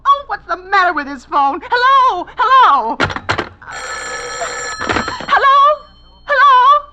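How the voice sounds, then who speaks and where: A woman's voice: sing-song, slow pace, dull audio, full character voice. An actress playing a nervous, demanding bedridden woman in a 1940s radio thriller, fretful, haughty and increasingly hysterical. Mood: frantic. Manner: fretful, haughty, shrill complaining. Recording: vintage 1940s radio broadcast.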